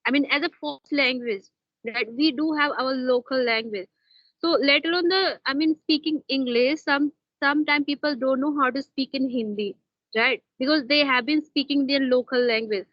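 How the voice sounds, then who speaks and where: A woman's voice: high voice, normal speed, no room sound, muffled recording. A woman with an Indian accent who hosts an online English practice talk, speaking hesitantly with many fillers and restarts. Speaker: host interviewing a fellow learner.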